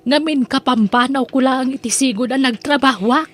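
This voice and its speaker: A woman's voice: high-pitched, normal speed. A woman with an Ilocano accent playing a polite, deferential housemaid in an audio drama.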